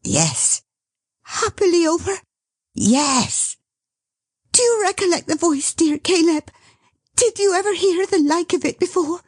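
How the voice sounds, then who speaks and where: A woman reading the part of an excitable young wife, talking in a rushed, fluttering way. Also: breathy edge, no room sound, studio quality, heavily acted. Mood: ecstatic. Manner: rushed, fluttering, high-strung.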